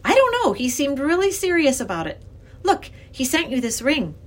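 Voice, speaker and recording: A woman voicing an earnest, excitable young girl who pleads and insists, in an acted children's storybook reading. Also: high-pitched, normal speed, pitched above the performer's own voice. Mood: pleading.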